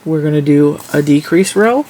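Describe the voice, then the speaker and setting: A woman's voice: deep voice, expressive, moderate pace, warm tone, rough recording. A congested, stuffy-nosed woman hosting a casual crochet tutorial, chatting as she counts stitches and rows.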